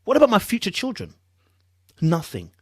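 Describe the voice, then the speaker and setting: A podcast host playing a wounded man in a grave, measured, mock dramatic reading of a breakup statement. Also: mid-range voice, huge pitch swings, husky, dry recording, studio quality, voice pushed up. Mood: melodramatic, wounded.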